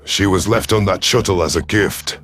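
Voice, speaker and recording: A man's voice: low-pitched, moderate pace, fairly bright tone, faint music behind. A man playing a stern, sardonic alien predator commander in an audio drama, with a commanding, growling manner. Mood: sly, commanding.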